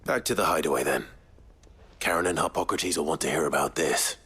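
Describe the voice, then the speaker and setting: An actor playing a brooding fantasy game hero with a British accent, speaking in a grave, understated, dry way. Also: male voice, rumbling low, fairly quick, fairly bright tone, husky, exaggerated voice. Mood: matter-of-fact. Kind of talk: video game voice acting.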